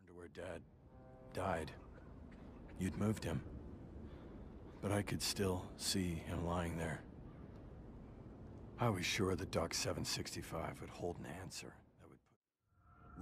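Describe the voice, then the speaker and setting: A man's voice: low voice, a little slow, creaky, pauses often, noisy background. An actor playing a hardened, vengeful cop who narrates his case in a terse, sardonic noir style for a video game. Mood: brooding. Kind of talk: hard-boiled noir game narration and cutscene dialogue. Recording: loud music behind the voice. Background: music.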